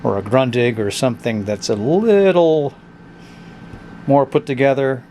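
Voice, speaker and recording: A man talks through a review of a cheap radio in an offhand, blunt way, thinking aloud as he goes. His voice sits in a middle pitch. A faint hiss runs under it. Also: a little slow, mellow. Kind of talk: casual product review. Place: indoors at a tabletop.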